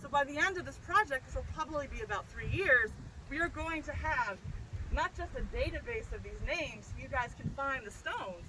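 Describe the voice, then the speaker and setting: A high, bright-voiced woman professor addresses a gathered crowd outdoors with eager, talkative energy, often asking "right?". Steady noise and passing traffic sit under her voice in this open-air recording. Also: normal speed. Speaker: professor and nonprofit founder. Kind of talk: outdoor public speech. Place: outdoors at a historic site.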